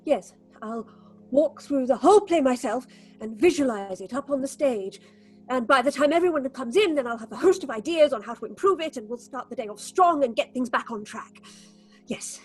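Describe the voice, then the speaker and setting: An actress playing a stern, anxious, domineering theatre director who talks in a melodramatic way in an audio horror drama. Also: female voice, high voice, animated, normal speed, breathy edge, soft background music. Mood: determined. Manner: imperious, melodramatic, talks aloud to herself.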